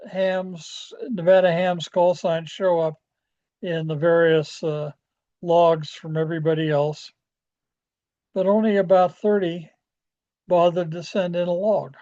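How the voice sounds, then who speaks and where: Male presenter, mellow and dark, halting folksy delivery for an informal club training talk, slightly muffled lo-fi sound. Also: high voice. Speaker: amateur radio club presenter. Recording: dull, lo-fi audio.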